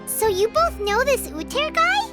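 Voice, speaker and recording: A tiny fairy girl chirps in an extremely high, squeaky childlike voice. Her pitch swings in a lively way as she exclaims and asks questions like an excitable video game sidekick. Music plays behind her. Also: measured pace, exaggerated voice. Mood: concerned, curious. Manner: inquisitive, exclaiming, cutesy. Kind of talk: English video game voice acting.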